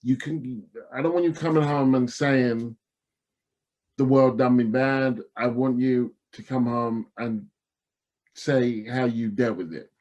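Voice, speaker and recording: A man with a mid-pitched voice leads a podcast panel discussion. He speaks conversationally and thoughtfully, feeling his way through his phrases. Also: fairly even intonation, takes it slowly, a little mellow, highs cut off.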